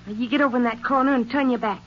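A woman's voice: on the high side, fairly even intonation, very fast, close to an everyday voice. A woman playing a hard-boiled, threatening young armed robber in a 1950s radio crime drama, curt and commanding. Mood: cold, commanding. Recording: very noisy, dull old radio recording.

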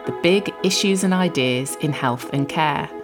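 A woman hosting a health podcast, reading a polished introduction and asking measured, polite questions. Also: sits low, music in the background. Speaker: podcast host. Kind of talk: scripted podcast introduction and interview.

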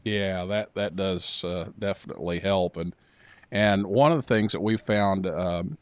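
A man hosting a radio talk show, chatting casually with a guest and pausing often on filler sounds. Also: low voice, lo-fi sound. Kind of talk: casual interview chat.

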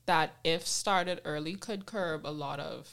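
A woman with a Bahamian accent hosting a podcast, chatting casually and telling stories candidly in slangy, animated phrasing. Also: sits low, a little slow. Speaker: podcast host.

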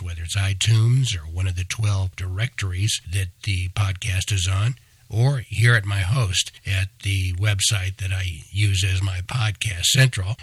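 Low, husky man hosting a podcast. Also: dry recording. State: scratchy, hoarse voice. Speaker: podcast host. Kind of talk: educational podcast talk for kids.

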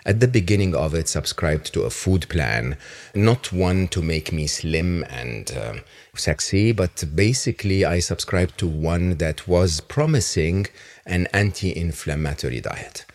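A man's voice: low voice, lively intonation, a little slow, a little mellow. A man hosting an interview podcast, introducing his guest in a reflective way with frequent fillers. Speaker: podcast host.

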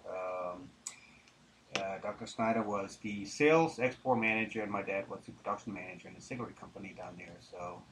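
Middle-aged male interviewee, lowish pitch, halting conversational storyteller, some background noise. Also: a bit bright. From Denmark. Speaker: interview guest, tobacco businessman. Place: studio, live interview.

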